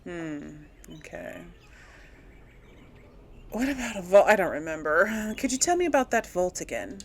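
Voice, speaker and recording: A woman's voice: lowish voice, big pitch swings, unhurried, slight background noise. A woman hosting a casual let's play, musing aloud informally and hesitantly as she talks and role-plays through a game. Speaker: gaming video host.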